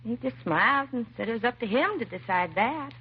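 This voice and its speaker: An American actress playing a timid, self-doubting young secretary in a 1950s radio drama, hesitant and apologetic. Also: female voice, on the high side, lively intonation, fairly quick, husky, exaggerated voice. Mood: earnest, hesitant. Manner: hesitant, nervous, apologetic, trailing and restarting. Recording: muffled vintage radio recording, faint hiss.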